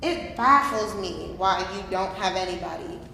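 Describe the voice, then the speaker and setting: A woman's voice: mid-range voice, expressive, moderate pace, gravelly, bright, forceful tone. A woman playing a doting, outspoken mother in a staged play reading, coaxing and folksy, with an emphatic delivery. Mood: brash, insistent. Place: live staged reading in a reverberant room. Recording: very echoey hall, some background noise.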